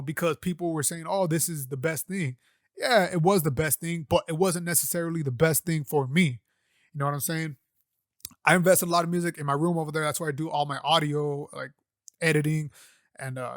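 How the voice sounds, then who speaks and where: A man's voice: on the high side, dry recording, clean studio sound. A young man hosting his own podcast at home, talking loosely and profanely with lots of fillers into a condenser mic. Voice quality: loud voice. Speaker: podcast host. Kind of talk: casual solo podcast talk. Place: home room setup. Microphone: condenser microphone.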